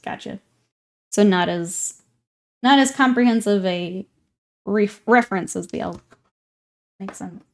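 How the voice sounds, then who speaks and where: A woman's voice: mid-range voice, a little slow, long pauses. A young woman streaming live while painting miniatures, chatting casually and reading viewer comments aloud as she works. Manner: chatty and easygoing, thinking aloud while working.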